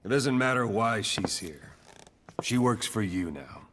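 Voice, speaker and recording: A calm tough veteran officer in a game cutscene, slow and commanding, big hall echo. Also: male voice, on the low side, background noise. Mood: firm, cool. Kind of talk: dramatic video game cutscene acting. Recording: long hall reverb, music underneath.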